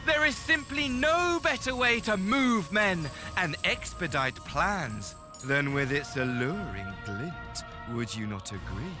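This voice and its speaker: Game villain, theatrical and mocking, high swooping voice, slow relishing delivery. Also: male voice, lively intonation, loud music behind the voice. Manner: grandiose, sing-song and mocking, bursts of manic laughter. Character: flamboyant, gleeful, mocking male villain servant. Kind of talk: theatrical game cutscene acting.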